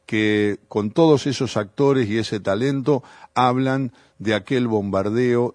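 A man's voice: on the low side, darkish tone, dry recording. Argentine male radio host, dry studio sound. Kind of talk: conversational radio interviewing.

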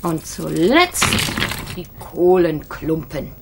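An actress playing a contented, homely country hen-keeper in a children's radio play, talking to herself in a singsong way. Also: female voice, low voice, very animated, slow pace, creaky, heavily acted. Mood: absorbed, contented. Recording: noisy, with clicks.

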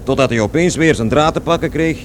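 A man's voice: on the high side, fairly quick, noisy background, natural voice. An actor in a radio play playing an aggrieved, rambling man who recalls his past in conversational, self-correcting speech. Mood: wry, aggrieved. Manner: digressive, self-correcting, repeats words emphatically.

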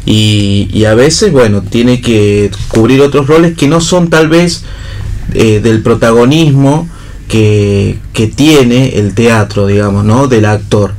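A man with an Argentine accent chatting in a panel discussion, feeling his way through halting phrases. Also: mid-range voice, darkish tone. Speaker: radio talk show panellist. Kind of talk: casual discussion. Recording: clear background noise, room ambience.

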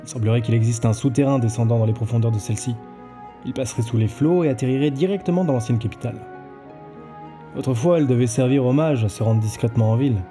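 A man's voice: mid-range voice, fast, mellow, close to an everyday voice. A man acting an earnest, self-assured investigator in an audio drama. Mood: intent. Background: music.

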